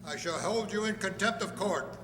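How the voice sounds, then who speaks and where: A man's voice: high voice, normal speed, close to an everyday voice. A man playing a stern courtroom judge in a radio drama, with an authoritative, declamatory manner. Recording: very echoey hall recording.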